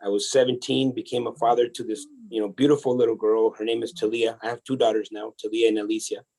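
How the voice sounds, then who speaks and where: A man from El Salvador, a podcast guest telling his life story in a casual, energetic way, often restarting his sentences. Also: on the low side, fast talker, rough recording. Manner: energetic, chatty, many restarts.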